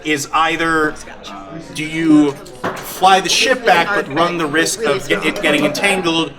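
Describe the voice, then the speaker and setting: A man acting as game master in a live tabletop game, explaining in a halting way with repeated words. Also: a little high, over music. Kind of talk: improvised live tabletop game narration and chat. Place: large hall at a gaming convention, live. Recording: distant miking in noisy convention hall crowd.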